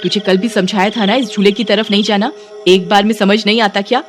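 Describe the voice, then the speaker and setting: A woman voicing a bossy older sister-in-law in an animated village story, scolding and exclaiming. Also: mid-range voice, fairly quick, natural voice. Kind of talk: animated Hindi storybook acting. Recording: music behind the voice.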